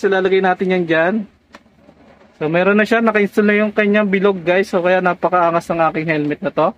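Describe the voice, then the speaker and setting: A man with a Filipino accent narrating a casual hands-on how-to as a tutorial vlogger, often addressing his viewers directly. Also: sits high. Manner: repeatedly addresses viewers as guys. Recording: dull lo-fi audio.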